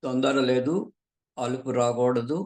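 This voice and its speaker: A man leading a guided meditation as a teacher, calmly and unhurriedly, pausing often between short phrases. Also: mid-range voice. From southern India.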